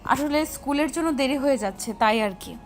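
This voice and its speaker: A woman's voice: high-pitched, brisk, close to an everyday voice. A woman playing a feisty, easily annoyed teenage schoolgirl in an audio story, with music underneath. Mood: hesitant. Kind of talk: Bengali audio story acting.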